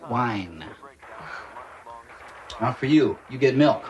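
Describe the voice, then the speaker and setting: A middle-aged man playing a gentle, playful father in a naturalistic TV drama, tender and coaxing. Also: medium pitch, expressive, takes it slowly, highs cut off. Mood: wry, casual. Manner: tender, coaxing, often hushed. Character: gentle, playful father soothing his frightened child.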